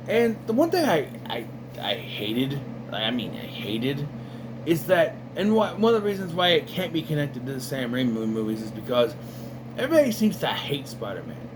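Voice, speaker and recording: A man in casual commentary, reviewing an animated series in a rambling, sarcastic way with lots of fillers. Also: medium pitch, noisy background. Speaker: video reviewer.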